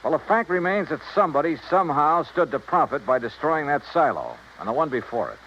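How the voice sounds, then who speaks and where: A man's voice: on the high side, big pitch swings, a little fast, fairly bright tone. A male actor playing a dogged, skeptical, clipped-talking insurance investigator in an old radio detective drama, both in dialogue and narration. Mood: insistent, skeptical. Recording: muffled, noisy old-time radio recording.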